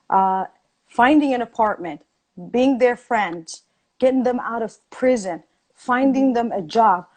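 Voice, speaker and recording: A woman's voice: on the high side, a little mellow, rough recording. A woman from Ethiopia in a heated debate, talking passionately and insistently, repeating phrases for emphasis.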